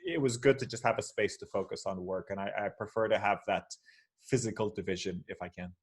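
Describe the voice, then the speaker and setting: Male guest from Portugal, relaxed and rambling, on a video call. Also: fairly low pitch, fairly quick, breathy edge. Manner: relaxed, rambling with many fillers and restarts. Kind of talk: casual video call chat. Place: shared office space.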